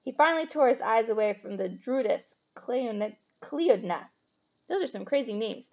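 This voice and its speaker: A woman's voice: fairly high pitch, expressive, moderate pace, creaky, dull audio. A woman storyteller reading a children's book aloud expressively, with the odd casual aside.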